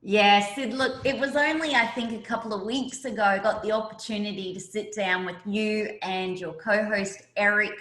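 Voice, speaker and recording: A woman's voice: mid-range voice, fairly even intonation, normal speed, hardly any pauses, fairly bright tone. A woman hosting a podcast interview in an effusive, emphatic way, recorded in a roomy, echoey space. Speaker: podcast host.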